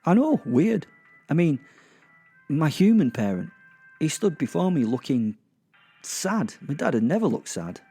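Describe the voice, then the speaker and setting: A man playing a remorseless, cocky werewolf killer, narrating a horror audio drama in a wry, deliberate, musing, sweary way. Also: a little high, sing-song, mellow, breathy edge, faint music behind, exaggerated voice. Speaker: narrator and protagonist. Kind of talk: first-person dramatic narration.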